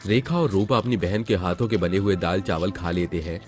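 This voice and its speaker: Deep-voiced male storyteller over music. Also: fairly quick, a little mellow. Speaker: story narrator. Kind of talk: scripted moral story narration in Hindi.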